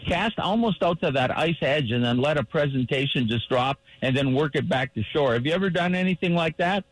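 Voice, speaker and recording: A man with a somewhat higher voice hosts a radio talk show, chatting easily and at length. He sounds a little hoarse and keeps clearing his throat. It comes through as a radio broadcast. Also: expressive, fairly quick, no echo, rough recording. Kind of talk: casual fishing talk on radio.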